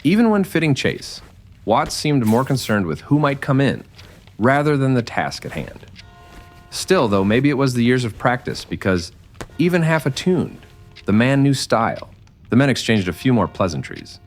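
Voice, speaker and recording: A man's voice: mid-range voice, very animated, normal speed, soft background music. A man narrating a scripted Western story in the manner of old-time radio drama.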